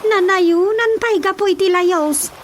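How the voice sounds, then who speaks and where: A woman's voice: very high voice, measured pace, a little hiss or hum. A woman playing an anxious, tearful daughter in an emotional radio drama. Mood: worried. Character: anxious, tearful daughter Rosa awaiting missing kin. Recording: music behind the voice.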